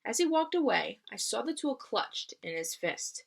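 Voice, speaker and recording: A woman's voice: a little high, very animated, normal speed, vocal fry. Woman reading a storybook aloud, teacherly. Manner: teacherly, explaining the story simply. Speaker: read-aloud reader. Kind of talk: expressive storybook read-aloud with explanatory asides.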